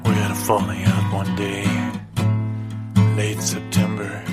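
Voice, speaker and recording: A man playing a rustic fisherman and absurd storyteller in improvised audio comedy, deadpan and deliberate. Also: fairly low pitch, over loud music, lightly acted. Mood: brooding.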